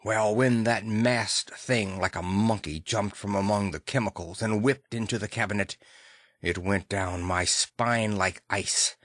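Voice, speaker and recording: A man's voice: fairly low pitch, steady tone, a little slow, fairly bright tone, no echo. A man voicing an anxious, superstitious Victorian butler in an audiobook, hesitant and respectful. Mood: fearful, earnest. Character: anxious, deferential Victorian male butler, plain-spoken and superstitious.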